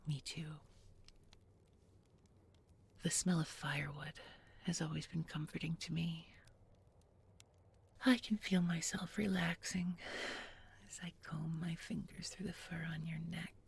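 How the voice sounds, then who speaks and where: A woman playing a tender, bookish young village girl in an intimate audio roleplay, speaking softly and gently, often in a whisper. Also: low voice, level tone, a little hiss or hum. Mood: tender, soothing. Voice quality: soft, breathy, often whispering. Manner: slow, gentle, murmured with lingering pauses.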